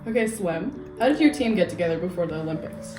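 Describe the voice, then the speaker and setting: Young woman as a lowish-voiced sports interviewer, echoey. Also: animated, quick pace, dark tone, lightly acted. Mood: polite, presenting. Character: polite sports interviewer hosting a hockey team. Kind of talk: scripted mock interview hosting. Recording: echoey room, music behind.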